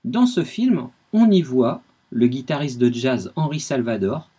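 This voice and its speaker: A man's voice: mid-range voice, lively intonation, a little slow, warm tone, breathy edge. A man giving a radio music history segment, telling the story with enthusiasm.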